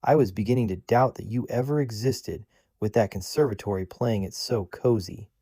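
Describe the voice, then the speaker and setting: A man's voice: lowish voice, normal speed, dark tone, slightly rough, dry recording, studio quality. A man voicing an earnest young man who talks with a slightly condescending, big-brother tone. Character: young man, earnest undercover agent, sibling-like.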